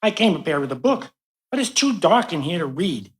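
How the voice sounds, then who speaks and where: A woman's voice: fairly low pitch, very animated, fairly quick. A woman playing an affable, wry male patient in a live radio play.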